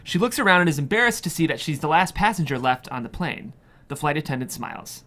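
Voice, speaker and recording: A narrator reading screenplay stage directions in an expressive, measured way at a table read. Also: mid-range voice.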